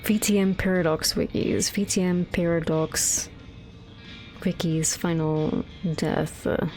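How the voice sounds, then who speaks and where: Woman, lowish voice, rambling streamer, swears a lot, faint music behind. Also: fairly even intonation, slow, a little mellow, rough recording. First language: Dutch. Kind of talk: casual gameplay chat.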